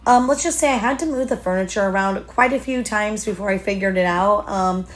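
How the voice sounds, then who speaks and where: A woman in her thirties with a hoarse voice, chatting casually and upbeat through a vlog voiceover. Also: mid-range voice, a little fast. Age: 30s. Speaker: lifestyle vlogger.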